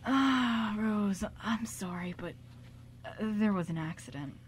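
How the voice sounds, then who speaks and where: A woman's voice: mid-range voice, lively intonation, slow, a little hiss or hum. A woman playing a sweet, boyish, apologetic man, hesitant and earnest, in an amateur radio drama. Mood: hesitant, sorry.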